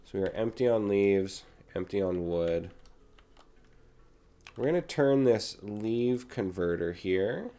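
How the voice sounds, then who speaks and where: Man, young adult, low voice, raspy from a cold, mellow, relaxed gameplay chat. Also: slow pace. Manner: relaxed, chatty, talks while playing. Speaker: gaming channel host. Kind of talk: casual gameplay commentary.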